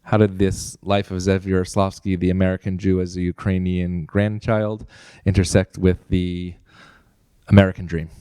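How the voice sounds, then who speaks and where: A man, a rabbi, moderates an on-stage conversation in a chapel, asking measured, careful questions. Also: low-pitched, level tone, dark tone, smooth, dry recording.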